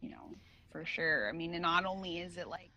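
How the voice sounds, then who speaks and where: Bright-voiced woman chatting loosely. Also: fairly low pitch, a little fast. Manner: rambling, with many fillers and restarts. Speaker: guest, cosplay face model. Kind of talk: casual podcast chat.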